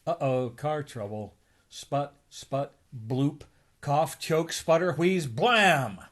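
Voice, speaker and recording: A man's voice: a little high, animated, takes it slowly, fairly bright tone. A middle-aged man reading a comic story aloud, acting out characters and spoken sound effects. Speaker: amateur story reader.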